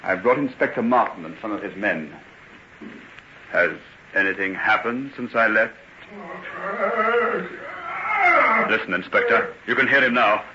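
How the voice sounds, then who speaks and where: An actor playing a concerned, courteous gentleman doctor in an old-time radio drama, earnest and steadying. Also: lowish voice, moderate pace, lightly acted. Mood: uneasy, courteous. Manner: earnest, polite, soothing but urgent. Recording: dull, hissy old radio recording.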